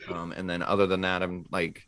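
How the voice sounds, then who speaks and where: Deep-voiced, giggly man chatting casually. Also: completely flat delivery, a little fast, fairly bright tone. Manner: giggly, chuckles while talking. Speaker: actor and podcast cast member. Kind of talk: casual live podcast chat.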